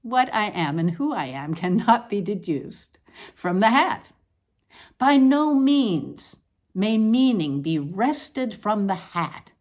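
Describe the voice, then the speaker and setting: A woman giving a deliberate, emphatic, declamatory reading of a scripted literary monologue, with measured phrasing. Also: medium pitch, a little mellow. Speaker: reader of a literary essay.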